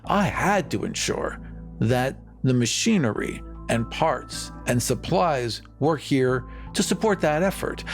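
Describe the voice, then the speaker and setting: A man improvising as an evasive colony leader in a tabletop game, putting a reassuring, polished spin on bad news. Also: fairly high pitch.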